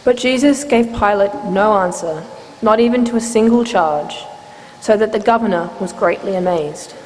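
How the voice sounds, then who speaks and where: A woman giving a measured, solemn scripted narration of scripture as part of a dramatic reading. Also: mid-range voice, a little mellow. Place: inside a church, live reading. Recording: reverberant church hall, faint noise.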